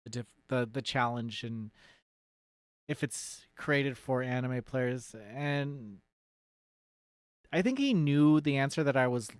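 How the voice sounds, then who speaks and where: A man's voice: medium pitch, slow, pauses often, clean tone, dry recording, studio quality. A man giving casual, off-the-cuff commentary as a streamer, blunt and sweary, rambling and often trailing off mid-thought.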